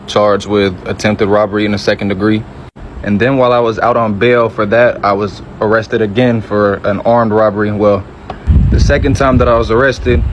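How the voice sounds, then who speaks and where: A man's voice: fairly low pitch, a little hiss or hum, rough recording. Young man telling his story. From Metro Atlanta, Georgia, USA. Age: early 20s. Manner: measured, reflective, frequent self-corrections. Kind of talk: personal testimony to camera.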